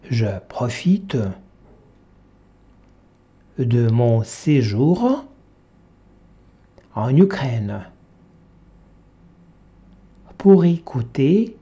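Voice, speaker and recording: A male language teacher reads a textbook dialogue aloud in short, separate phrases, leaving long pauses for students to repeat. His delivery is very slow, with an animated, sing-song pitch. The recording sounds dull and lo-fi. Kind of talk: slow dictation-style reading for repetition.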